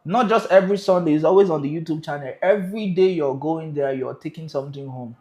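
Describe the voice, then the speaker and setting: Man, Nigerian accent, livestream host, lively, high voice, roomy echo. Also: fast talker. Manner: lively, chatty, mixes pidgin. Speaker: live stream host. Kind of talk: livestream talk to viewers.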